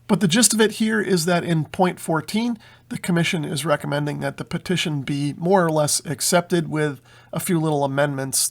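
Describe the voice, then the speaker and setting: A man's voice: fairly high pitch, fairly bright tone. A man giving informal explanatory commentary as a hobby channel host, walking listeners through a written document.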